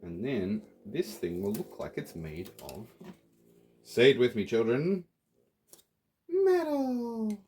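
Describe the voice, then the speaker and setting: A man's voice: mid-range voice, huge pitch swings, takes it slowly, long pauses, warm tone. A playful Australian man chatting to viewers as he builds a theatre prop. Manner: playful, chatty, talks to viewers while working. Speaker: prop maker. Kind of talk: casual workshop tutorial.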